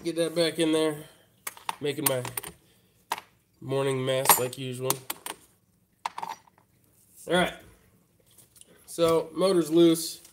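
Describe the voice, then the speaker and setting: Man, congested, head cold, slightly high voice, relaxed, chatty, live-stream host at a workbench. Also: takes it slowly, pauses often, background noise. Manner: laid-back, chatty, talks straight to viewers. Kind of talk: casual live-stream chat while working at a bench. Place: hobby workbench.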